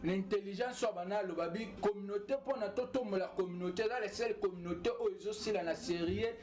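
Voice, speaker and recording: A young man from Congo, answering interview questions in a halting way, repeating himself and sending long formal greetings. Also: high voice, a little mellow, soft background music. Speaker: guest, community member. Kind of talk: casual interview answers mixing French and Lingala.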